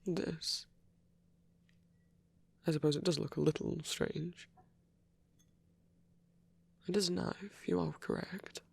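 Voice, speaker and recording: A man playing a gentle, caring old vampire priest in an intimate ASMR roleplay, speaking in a halting, bashful, breathy whisper. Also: a little high, steady tone, full character voice. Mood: awkward, reassuring. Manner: halting, bashful, softly reassuring.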